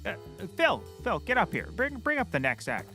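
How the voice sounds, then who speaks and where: A man plays a folksy, self-pleased private detective in an audio comedy. His voice is high for a man and swoops widely, with a jaunty, exaggerated delivery. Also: wildly swooping pitch, normal speed, sharp tone, soft background music, full character voice. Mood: pleased, bossy. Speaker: lead character. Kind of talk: scripted audio comedy acting.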